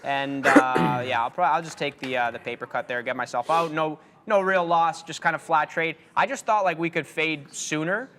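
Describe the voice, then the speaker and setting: Chill day trader dude rambling about his trades, lots of ums, kinda high voice. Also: normal speed, hardly any pauses, sharp tone. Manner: laid-back, rambling, lots of fillers. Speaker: day trader on a live show. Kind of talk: live trading commentary.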